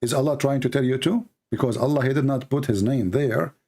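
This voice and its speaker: A man's voice: medium pitch, brisk, a little mellow, husky. A man with an Arabic accent and Arabic as his first language, debating religion insistently with challenging questions and repeated points. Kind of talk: argumentative live religious debate.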